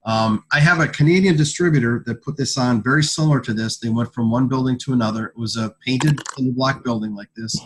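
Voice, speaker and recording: Man giving a halting product pitch. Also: a little hiss or hum. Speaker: product sales presenter. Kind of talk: informal product presentation. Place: conference call.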